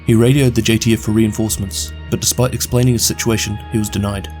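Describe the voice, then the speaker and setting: A man with a New Zealand accent narrating a scripted lore recap in a brisk, steady delivery. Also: on the low side, soft background music. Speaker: video game lore narrator.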